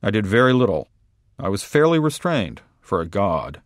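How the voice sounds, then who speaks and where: A man reading a short story aloud in a wry, deadpan first-person voice. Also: lowish voice, normal speed, no echo, studio quality. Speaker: reader.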